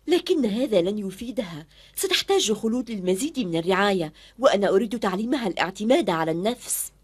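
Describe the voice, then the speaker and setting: A woman's voice: medium pitch, lively intonation, fast talker, husky. A woman playing a strict, determined young female teacher in a dubbed cartoon, speaking firmly and earnestly. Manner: firm, earnest, commanding. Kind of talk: Arabic-dubbed cartoon acting.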